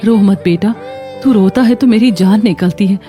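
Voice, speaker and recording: A woman playing a poor, hardworking mother in a cartoon story, anxious and gentle. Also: medium pitch, normal speed, faint music behind, natural voice. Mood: worried, soothing.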